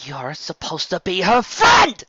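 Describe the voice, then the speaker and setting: A man's voice: sits high, wildly swooping pitch, a little slow, bright, forceful tone, slightly rough, dry recording, pitched above the performer's own voice, exaggerated voice. A man voicing a furious, protective female pony in a storybook reading, demanding and exclamatory, on a lo-fi recording. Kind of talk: acted storybook dialogue.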